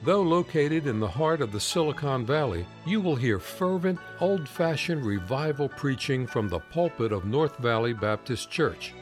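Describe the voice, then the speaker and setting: A man reading a scripted podcast introduction in a measured, formal way. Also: mid-range voice, lively intonation. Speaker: podcast announcer. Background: music.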